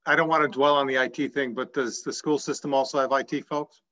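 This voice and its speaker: A man's voice: medium pitch, steady tone, fast, sharp tone. A man on a town board asking questions during a video call meeting, often repeating words. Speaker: board member. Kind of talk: casual meeting discussion. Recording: dull video call audio.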